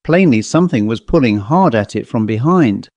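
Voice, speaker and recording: A man reading a literary ghost story as an audiobook narrator, in a measured storytelling manner. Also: mid-range voice, lively intonation, a little mellow, no echo, muffled recording.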